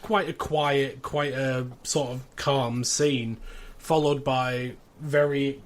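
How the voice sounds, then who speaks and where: A man in a casual podcast chat, explaining his view in a measured, considered way. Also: mid-range voice, fairly even intonation. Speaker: podcast host.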